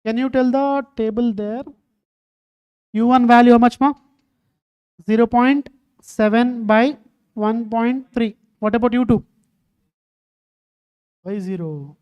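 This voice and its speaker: Mellow-voiced woman teaching. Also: a little high, clear, resonant tone. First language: Telugu. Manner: keeps quizzing students, calling them "ma". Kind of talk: online exam-prep lecture.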